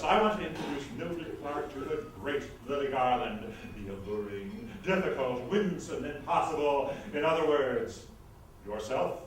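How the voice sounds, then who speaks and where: A man's voice: on the high side, a bit bright. A man playing a pompous, scheming theatre producer in a screwball comedy reading, grandiose and theatrical in manner. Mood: melodramatic, cajoling. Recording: very echoey hall, far microphone, noise.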